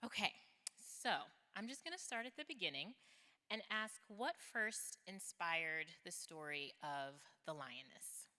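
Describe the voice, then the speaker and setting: A woman interviewing an author on stage, friendly and brisk. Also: fairly low pitch, animated, sharp tone. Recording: long hall reverb.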